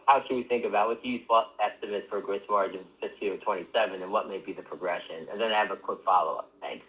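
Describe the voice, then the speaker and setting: A man asking questions as an analyst on an earnings call, polite and full of restarts. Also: lowish voice. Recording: muffled phone-line audio.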